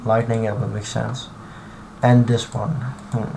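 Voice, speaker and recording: A man streaming live from his computer, talking through his modding in a halting, thinking-aloud way full of restarts. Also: on the low side, flat delivery. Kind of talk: casual live-stream commentary while modding. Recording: clear background noise and typing.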